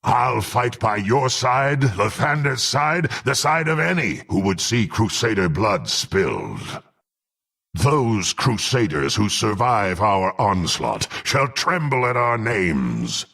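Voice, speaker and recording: Gravelly, menacing half-orc warrior. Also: male voice, on the low side, sing-song, slow, sharp tone, full character voice. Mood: grim, menacing. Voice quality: gruff, gravelly. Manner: haughty, threatening, formal archaic speech. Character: menacing proud half-orc warrior sworn to dark patron. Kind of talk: dramatic fantasy game voice acting.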